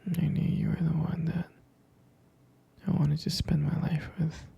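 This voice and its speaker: Male actor as tender boyfriend for ASMR roleplay: breathy whisper, heavy gravelly creak, gentle and hesitant. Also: on the high side, flat delivery, muffled recording, full character voice. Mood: tender, reminiscing. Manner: slow, gentle, hesitant, pausing and repeating. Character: tender, devoted boyfriend soothing his pregnant partner.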